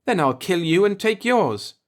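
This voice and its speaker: A man's voice: sits high, big pitch swings, moderate pace, pitched above the performer's own voice. A man voicing a proud, embittered old alien man who speaks with formal solemnity in a science fiction audiobook. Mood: resigned, stubborn. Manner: formal, solemn, grieving speech.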